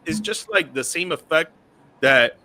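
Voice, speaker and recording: A man's voice: a little high, animated, measured pace, bright, forceful tone, poor audio. A man on a sports podcast panel, talking loosely with slang and jokes. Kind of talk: casual sports talk.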